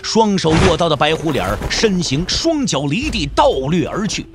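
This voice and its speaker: A man with a slightly high, very animated voice narrates a martial arts audio drama. His delivery is emphatic and suspenseful, in the manner of dramatic scripted storytelling. Music plays behind him. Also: moderate pace. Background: background music.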